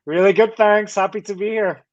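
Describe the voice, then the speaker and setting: Higher-voiced man on dull, dry call. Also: steady tone, normal speed, dry recording. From Turkey, later Scotland. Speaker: guest, company founder. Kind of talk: conversational interview storytelling.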